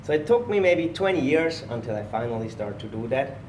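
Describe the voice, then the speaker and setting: A man from Switzerland, a personal trainer telling his story as a guest in a relaxed interview recorded at a gym. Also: medium pitch, fairly quick, roomy sound, far from the mic, a little hiss or hum, poor audio. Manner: relaxed storytelling, slightly non-native phrasing. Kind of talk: casual podcast interview.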